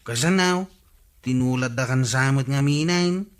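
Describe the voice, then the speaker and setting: A man's voice: mid-range voice, a little slow, clear, resonant tone, natural voice. A man with an Ilocano accent playing an idle, complaining nephew in a radio comedy drama.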